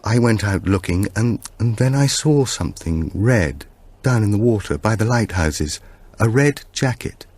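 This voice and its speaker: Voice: mid-range voice, expressive, unhurried, a little mellow, husky, voice pushed up. A man voicing an earnest grey-haired woman who runs a preschool, recounting in an audiobook thriller. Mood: earnest, sombre.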